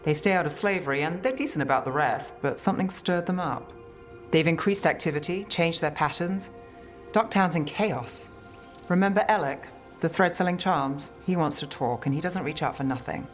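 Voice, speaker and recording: An actress voicing a cool, worldly, deadpan city detective in a video game, with clipped phrasing. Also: female voice, deep voice, moderate pace, soft background music, close to an everyday voice. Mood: businesslike.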